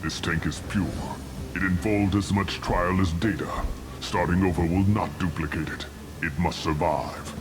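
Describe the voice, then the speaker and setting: A man voicing an arrogant, ruthless old alien warlord scientist in a video game, speaking with grandiose, deliberate contempt. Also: deep voice, steady tone, over music, lightly acted. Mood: grim resolve. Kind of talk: video game dramatic acting.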